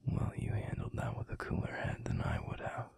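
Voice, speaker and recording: A man playing a tender, protective werewolf boyfriend in an intimate ASMR roleplay, speaking in a breathy, gravelly, soothing whisper. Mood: gentle concern. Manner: soothing, slow, gently coaxing. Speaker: audio roleplay voice actor.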